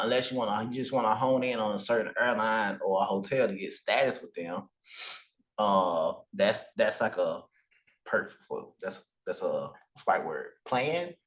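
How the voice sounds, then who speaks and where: A man appearing as a guest on a podcast, explaining credit and travel hacking advice in a calm, measured way. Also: mid-range voice. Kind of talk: casual podcast interview.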